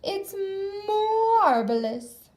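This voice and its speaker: A friendly storybook witch , put on by a woman narrator, cheerful and not at all scary. Her voice sits high and moves around with great animation. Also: very animated, drawn-out words, a little mellow, full character voice. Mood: delighted, helpful.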